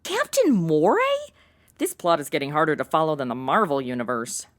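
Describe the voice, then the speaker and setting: A woman's voice: low voice, huge pitch swings, fairly quick, over loud music. A woman playing a flippant, self-centered young American in a comedy audio drama, with slangy, sarcastic, exaggerated delivery.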